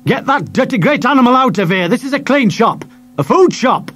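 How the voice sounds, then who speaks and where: A man voicing a nasty, bullying male chip-shop owner who shouts and sneers. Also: very high-pitched, sing-song, fairly quick, voice pushed up, full character voice. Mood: furious.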